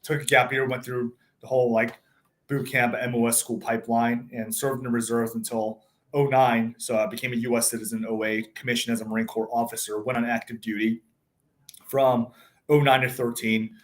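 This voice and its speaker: A man in his thirties who grew up in South Africa after being born in Taiwan, speaking as a startup founder in a relaxed interview. His voice sits at an ordinary middle pitch, and he talks in a loose, conversational way, full of "um"s, "you know"s and restarted phrases. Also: a little fast. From born in Taiwan, raised in South Africa. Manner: conversational, many fillers and restarts. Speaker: tech startup CEO, guest. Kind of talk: casual interview talk.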